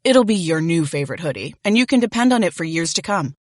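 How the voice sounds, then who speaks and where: A woman's voice: fairly low pitch, expressive, fast, no room sound, studio quality. An American woman reading a scripted advertising voice-over. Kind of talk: scripted commercial narration.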